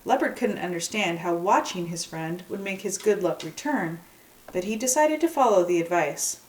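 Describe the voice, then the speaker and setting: A woman storyteller reading a children's folktale aloud in an expressive narrating style. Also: fairly low pitch, moderate pace. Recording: echoey room, distant microphone.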